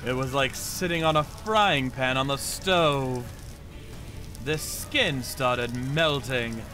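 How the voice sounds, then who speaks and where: A man's voice: mid-range voice, takes it slowly, over loud music, lightly acted. A man acting a cold, vengeful torturer who tells his story in a theatrical, menacing manga dub style. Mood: grim narrating. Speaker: narrator and lead voice actor. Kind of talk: dramatic manga dub narration.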